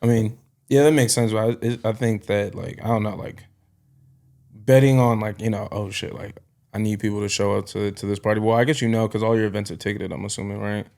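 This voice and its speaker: A man hosting a casual interview podcast, asking relaxed, rambling questions with many fillers. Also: lowish voice, fairly quick, vocal fry. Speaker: podcast host.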